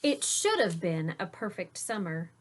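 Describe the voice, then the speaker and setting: A woman reading a picture book aloud to children like a teacher, expressive, with emphasis and hushed dramatic moments. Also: on the low side, measured pace, a little hiss or hum.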